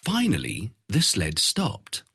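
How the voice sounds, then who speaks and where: A man narrating a fairy tale from a script in an expressive storytelling manner. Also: fairly low pitch, slow pace, slight background noise.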